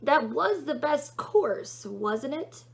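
Casting: fussy, earnest male angel played by a woman, high and fretful, hesitant storybook character. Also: sing-song, slow, vocal fry, exaggerated voice. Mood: worried. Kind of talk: storybook read-aloud character voice.

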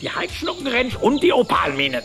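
A man's voice: very high-pitched, wildly swooping pitch, brisk, full character voice. A man playing a miserly, blustering old duck uncle in a German cartoon radio play. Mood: pleased, brisk. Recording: music behind.

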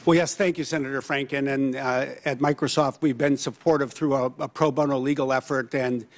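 Man in his 50s, medium pitch, earnest explanatory testimony, witness at a desk mic, large hearing room. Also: steady tone, a little fast. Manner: earnest, explanatory, with fillers and restarts. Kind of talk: answering questions at a hearing. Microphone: desk microphone.

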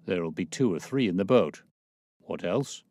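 A man's voice: sits low, expressive, normal speed, dark tone, slightly rough, dry recording, studio quality. A man voicing a bluff, hearty, self-important police officer with an emphatic manner, in an audiobook. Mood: businesslike.

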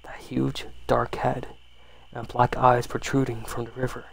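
A man softly reading a horror story in ASMR style, unhurried, with the odd stumble over words. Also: mid-range voice, level tone, vocal fry, slight background noise, poor audio.

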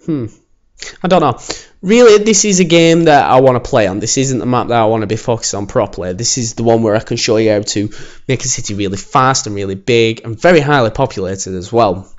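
A man with a croaky voice, casually commenting as he builds a city in a game, alone at home. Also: medium pitch, highs cut off. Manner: chatty, self-assured, talks while building. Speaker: gaming channel host. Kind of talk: casual let's-play commentary.

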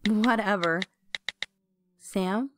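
A woman's voice: medium pitch, drawn-out words, a little hiss or hum. A woman playing a friendly, loyal, earnest teenage schoolgirl in an audio drama.

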